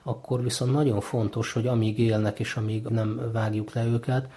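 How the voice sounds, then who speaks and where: A man's voice: medium pitch, flat delivery, warm tone. A man talking in an unscripted, explanatory way, laying out an argument.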